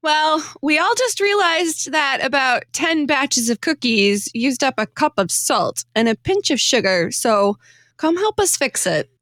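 Female comic skit player, very high animated voice, unhurried, scripted lines. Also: dry recording, clean studio sound. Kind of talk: scripted comedy radio skit dialogue.

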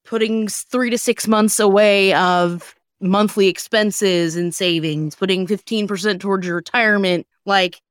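A woman's voice: medium pitch, no room sound, clean studio sound. A woman hosting a podcast, chatting casually with wry, sarcastic humor. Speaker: podcast host. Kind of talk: casual comedic chat.

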